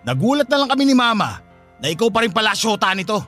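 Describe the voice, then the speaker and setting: Scornful filipino brother, fast bright voice, mocking, radio drama. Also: male voice, sits high, very animated, faint music behind. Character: scornful older brother mocking a couple's breakup.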